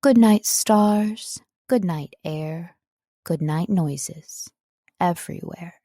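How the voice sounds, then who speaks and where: A woman's voice: on the low side, takes it slowly, warm tone, no room sound. Dry, close-sounding woman reading an audiobook. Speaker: audiobook narrator, voice-over artist. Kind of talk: scripted audiobook narration.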